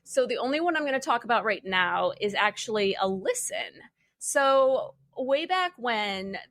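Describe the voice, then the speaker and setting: A young adult woman chatting casually as a podcast panelist, telling things in an informal, wry and animated way. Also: medium pitch, dry recording. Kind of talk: casual chat.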